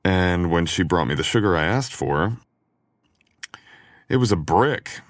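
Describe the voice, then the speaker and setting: Very deep-voiced, teasing boyfriend played by a man. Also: animated, measured pace, no room sound, studio quality. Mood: playful, teasing. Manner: playful, teasing, intimate. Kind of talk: boyfriend ASMR roleplay audio acting.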